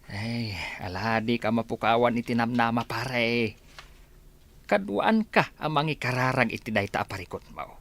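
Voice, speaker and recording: An actor playing a weary, sympathetic farmer uncle in a radio drama. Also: male voice, mid-range voice, moderate pace, vocal fry, fairly bright tone. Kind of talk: acted radio-drama dialogue. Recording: faint background noise.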